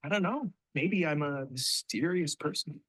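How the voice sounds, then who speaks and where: An actress playing an intense, bewildered mystery woman with a husky voice in a science fiction audio drama. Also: deep voice, animated, moderate pace, a bit bright, exaggerated voice. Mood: evasive.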